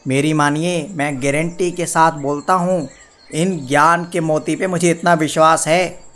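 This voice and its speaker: A man's voice: fairly high pitch, steady tone, unhurried, fairly bright tone. A man voicing a persuasive street hawker in a cartoon story, coaxing and insistent. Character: persuasive street hawker selling pearls of wisdom. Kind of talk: Hindi cartoon story dialogue.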